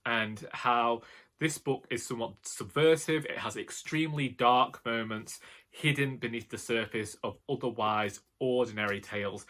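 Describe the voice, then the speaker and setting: Young man, bright voice, casual musing monologue, self-questioning. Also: mid-range voice. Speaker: author and book vlogger.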